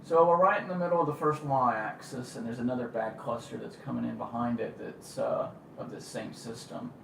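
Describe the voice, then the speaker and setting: Creaky-voiced man on a casual livestream. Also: medium pitch, fairly even intonation, moderate pace, fairly bright tone, a little hiss or hum, low-quality recording. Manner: informal, thinking aloud. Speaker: amateur storm-watch livestream host. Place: a room at home.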